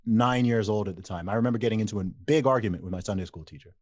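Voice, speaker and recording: A man's voice: on the low side, rapid-fire, a little mellow, no echo, clean studio sound. A man in his thirties from England, a podcast guest who tells stories animatedly, repeats phrases and laughs easily. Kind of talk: casual interview conversation.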